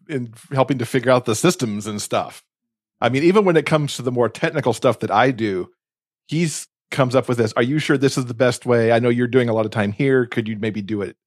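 A man's voice: medium pitch, no echo, studio quality. A man chatting casually as a podcast co-host, speaking in a reflective, earnest way.

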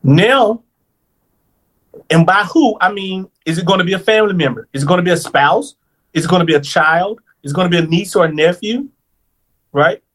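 A man in his thirties, a financial planner on a podcast, explaining things in a lively, conversational way and checking in with "right?". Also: high voice, fairly quick, long pauses, low-quality recording. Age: 30s.

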